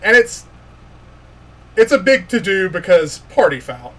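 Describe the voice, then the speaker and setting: A man working as game master, narrating a tabletop fantasy game in an informal, halting way with pauses. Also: high-pitched, sing-song, fairly bright tone.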